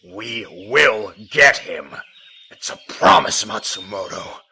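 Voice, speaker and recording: A man's voice: lowish voice, expressive, takes it slowly, vocal fry, sharp tone, husky, exaggerated voice. A man playing a grieving, vengeful police detective with an intense, determined manner in an amateur radio drama. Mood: angry grief. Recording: faint background noise.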